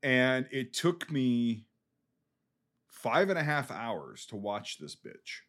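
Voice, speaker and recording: A man in a casual podcast chat, venting about a film with plenty of swearing and exasperation. His voice sits at a middling pitch, and his sentences stumble and restart as the complaints pile up. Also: sing-song, takes it slowly, fairly bright tone. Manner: profane, exasperated, rambling with restarts. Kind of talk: casual ranting film review.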